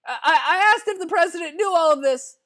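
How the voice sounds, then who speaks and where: A man's voice: very high voice, brisk, pushed and bright, exaggerated voice. A man voicing a nervous, timid adolescent cartoon boy, stuttering through narration in a comedic dramatic reading. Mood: hesitant. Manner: anxious stuttering, repeated word starts.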